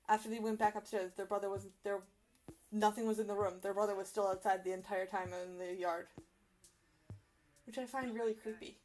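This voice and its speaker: A young woman with a fairly high voice chats casually about creepy stories as a co-host. She is informal and emphatic, repeating words, with faint music behind her. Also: a little mellow, poor audio.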